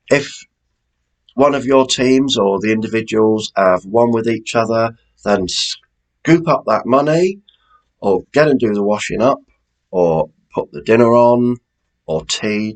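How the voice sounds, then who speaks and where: A man's voice: fairly low pitch, a little slow, low-quality recording. A British man presenting a quiz in a chatty, informal way, as the host reading out questions.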